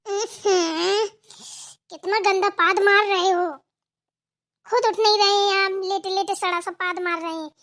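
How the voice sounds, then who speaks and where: A woman's voice: very high-pitched, fairly even intonation, a little slow, a bit bright, exaggerated voice. A woman voicing a whiny, stubborn young daughter-in-law in a family cartoon, full of fussy, snippy back-talk. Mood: disgusted, stubborn. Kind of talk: amateur Hindi family cartoon dialogue.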